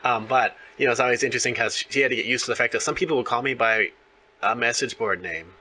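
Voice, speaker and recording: A man's voice: medium pitch, fast, bright, forceful tone, a little hiss or hum. A man in his thirties hosting a casual interview in a chatty, rambling way. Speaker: interview host.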